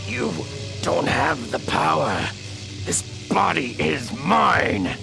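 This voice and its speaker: A man voicing a proud, angry robot raptor warrior in a radio drama, with a gravelly growl and formal, menacing delivery. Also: fairly high pitch, very animated, slow, over music, full character voice. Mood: grim defiance. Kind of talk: radio drama cartoon acting.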